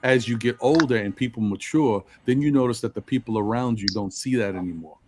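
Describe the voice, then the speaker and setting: A man with a deep voice and precise, articulate enunciation, talking reflectively about himself in a group discussion. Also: a little fast, noisy background. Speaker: panel participant.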